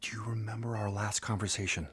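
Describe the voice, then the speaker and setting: A man's voice: on the low side, level tone, quick pace, a bit bright, lightly acted. An actor playing an earnest, kind-hearted male hospital visitor in an audio drama. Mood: urgent, hushed.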